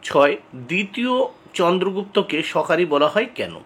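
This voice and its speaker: Husky man tutor, swinging pitch. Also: animated, a bit bright. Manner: instructional, addresses students directly; deliberate, repeats key answers. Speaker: exam tutor. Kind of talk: reading out exam questions and answers as a lesson.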